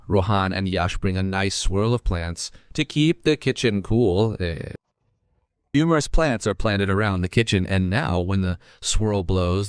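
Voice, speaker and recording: A man's voice: on the low side, clean tone, no room sound, studio quality. A man reading scripted narration for a cartoon story, as the narrator.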